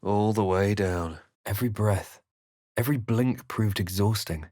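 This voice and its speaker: Casting: a grave, watchful male adventurer for a fantasy game, on the low side, storytelling with measured drama, recorded very dry. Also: a little mellow, dry recording. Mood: grave, narrating. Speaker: video game player character voice actor. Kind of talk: scripted game narration and character lines.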